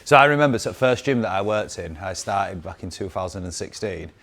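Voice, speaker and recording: A man's voice: low voice, expressive, fairly quick, fairly bright tone. A man hosting a casual podcast chat over pool, cheeky and full of banter. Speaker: podcast host.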